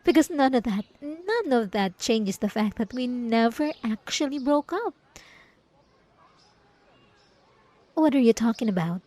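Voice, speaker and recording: A woman playing a possessive, obsessive ex-girlfriend in an ASMR roleplay, with drawn-out, cooing condescension and sweet menace. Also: a little high, dark tone, no room sound. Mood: wounded, insistent. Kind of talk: ASMR roleplay monologue to the listener.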